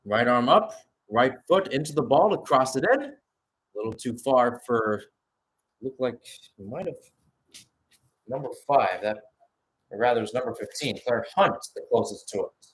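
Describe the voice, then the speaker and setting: A man does live football play-by-play in a radio style, with a mid-pitched voice. He mixes short, clipped calls of the action with chatty, analytical asides. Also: expressive, normal speed, long pauses, fairly bright tone, rough recording.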